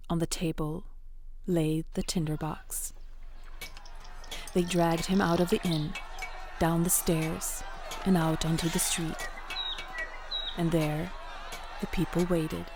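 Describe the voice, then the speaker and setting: Low, creaky woman narrator, slow. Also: little pitch movement, darkish tone, slight background noise. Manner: measured, suspenseful short phrases. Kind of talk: scripted dark fairytale narration.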